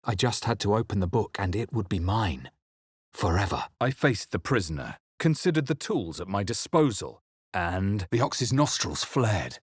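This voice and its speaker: A battle-hardened hero of a fantasy video game, brooding and measured. The voice is fairly low and husky, recorded very dry and close. The delivery is dramatic, like a man who has seen many fights. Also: big pitch swings, no echo. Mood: grave, intent. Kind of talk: dramatic fantasy game voice lines and first-person narration.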